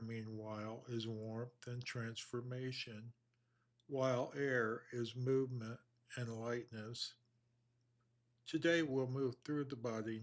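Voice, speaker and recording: A man guides a body scan meditation at a very slow pace. His mid-pitched voice stays level with little melodic movement. He gives each instruction in a measured, soothing way and leaves long pauses between phrases.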